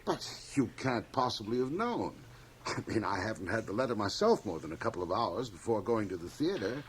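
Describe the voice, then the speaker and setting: A man's voice: on the low side, very animated, fairly quick, low-quality recording. An actor playing a wealthy middle-aged board chairman in a radio drama, urbane and clubby, with an affable but condescending manner. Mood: surprised, self-important. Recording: 1980 radio drama, hissy cassette transfer.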